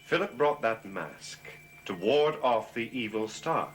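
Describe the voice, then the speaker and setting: An actor playing a grave, insistent husband in a gothic television soap, with a solemn, pressing delivery. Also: male voice, mid-range voice, animated, a little slow, a little hiss or hum, close to an everyday voice. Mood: stern, pressing. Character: grave, insistent husband probing a supernatural mystery.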